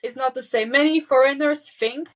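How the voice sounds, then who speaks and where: A teenage girl whose first language is Slovak talks in English with a fairly high pitch. She answers openly, pausing to recheck facts and restarting her sentences. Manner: candid, self-correcting, quick nervous laughs. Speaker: guest. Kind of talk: casual interview chat.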